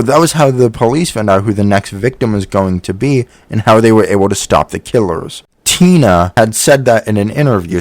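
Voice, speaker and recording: A man's voice: on the low side, darkish tone, no room sound. A man giving an opinionated video review in an informal, sweary way.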